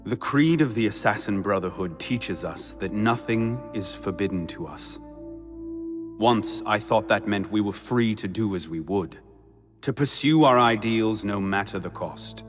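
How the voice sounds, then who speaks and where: An actor playing a solemn, reflective young assassin who narrates a video game in a somber, deliberate way. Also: male voice, on the low side, lively intonation. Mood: somber reflection. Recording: reverberant hall, orchestral music behind.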